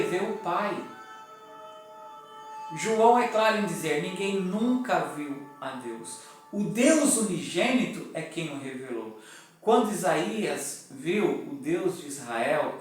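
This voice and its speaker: Brazilian male preacher, high voice, unhurried teaching delivery; far from the mic in a very echoey room. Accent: Brazilian Portuguese. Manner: deliberate, spells out letters one by one. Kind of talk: sermon teaching. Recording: echoey room, mic at a distance, faint music.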